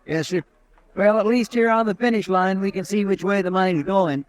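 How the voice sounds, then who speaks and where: An actor playing a loyal, deferential frontier deputy in a 1950s radio drama, folksy and eager. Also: male voice, high-pitched, moderate pace, lightly acted. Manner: folksy twang, eager, lots of "well". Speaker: marshal's deputy.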